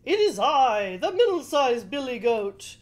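A woman's voice: high-pitched, big pitch swings, measured pace, voice pushed up. A woman voicing a proud middle-sized billy goat who talks his way past a troll in a storybook puppet show. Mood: pleading. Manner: proud, pleading.

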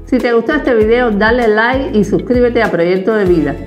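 A woman's voice: on the high side, normal speed. A woman narrating a scripted explainer as the host of the show. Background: background music.

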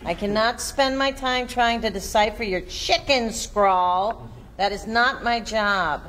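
A woman's voice: a little high, takes it slowly, a bit bright, a little hiss or hum. A woman at a table read, reading a stiff, fussy teacher.

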